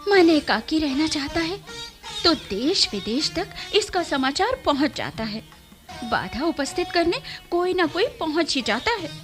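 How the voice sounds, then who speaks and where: A refined, melancholy noble lady in a classical radio play. Her voice is high and very expressive, delivered slowly with formal, theatrical poise. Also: music in the background. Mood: aloof.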